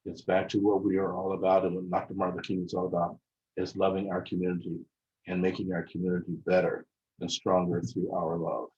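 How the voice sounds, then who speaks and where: A man leading a workshop, lecturing in a measured way with frequent fillers and restarts. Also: deep voice, completely flat delivery, darkish tone, lo-fi sound. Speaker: workshop leader.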